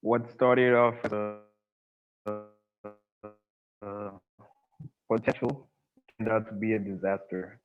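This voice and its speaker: Deep-voiced man on a tinny call line. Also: steady tone, faint music behind. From Uganda. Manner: slow, deliberate delivery. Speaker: meeting participant. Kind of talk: impromptu speech on a video call. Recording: tinny phone-like call audio.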